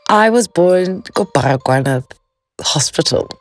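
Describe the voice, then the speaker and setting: A South African woman from Soweto with a gravelly voice, recalling her upbringing. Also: lowish voice, expressive, measured pace, soft background music. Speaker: interviewee.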